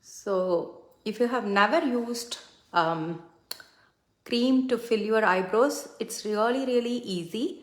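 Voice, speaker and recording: A woman's voice: on the high side, slow. A middle-aged woman whose first language is Tamil, chatting casually with many fillers as she does her makeup on a livestream. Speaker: makeup consultant and livestream host. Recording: echoey room.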